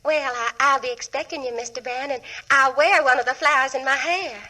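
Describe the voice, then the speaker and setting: A sweet young lady being courted in a 1940s radio comedy. Her voice is high and bright, with polite, breathless delight in every phrase. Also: fairly quick. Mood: sweet, grateful.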